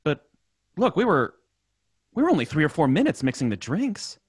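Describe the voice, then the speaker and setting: A man's voice: a little high, very animated, moderate pace, husky, no room sound, studio quality. A man playing an easygoing, skeptical sidekick with 1930s slang in an old time radio drama. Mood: baffled. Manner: slangy, incredulous.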